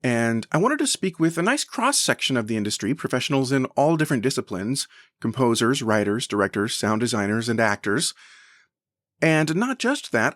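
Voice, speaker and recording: A man's voice: mid-range voice, fairly bright tone, no room sound. Enthusiastic male podcast host, dry close sound. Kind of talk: conversational podcast hosting.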